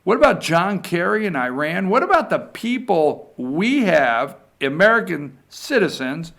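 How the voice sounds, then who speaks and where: High-pitched, gravelly man ranting on politics. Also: sing-song, measured pace, fairly bright tone. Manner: exasperated, emphatic, repeats phrases. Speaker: political talk show host. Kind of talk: off-the-cuff opinionated political commentary.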